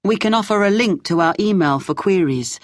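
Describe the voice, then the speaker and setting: A woman's voice: mid-range voice, expressive, brisk, no echo, studio quality, close to an everyday voice. A woman acting as an earnest, practical British university student explaining her work in a scripted listening-test dialogue. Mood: matter-of-fact. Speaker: student presenter.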